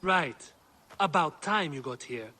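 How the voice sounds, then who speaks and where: A gruff, grumbling workman in an ancient Egyptian game scene. He speaks bluntly, in a sharp, forceful voice set a little high. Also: expressive, slow pace, exaggerated voice. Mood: gruff, matter-of-fact. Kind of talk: video game acting.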